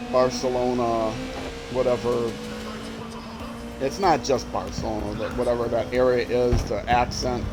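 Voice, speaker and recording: A man streaming a racing game, thinking aloud in rambling, self-restarting commentary with sudden excited outbursts. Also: mid-range voice. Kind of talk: casual gameplay commentary. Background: loud game music and car engine sounds.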